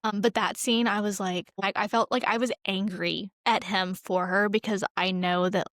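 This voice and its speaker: A woman's voice: mid-range voice, steady tone, moderate pace, fairly bright tone, no echo, clean studio sound. A young woman co-hosting a podcast, chatting casually and openly in informal, filler-heavy speech about films. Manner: informal, frequent "like", personal and open. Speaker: podcast co-host. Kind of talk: casual film discussion chat.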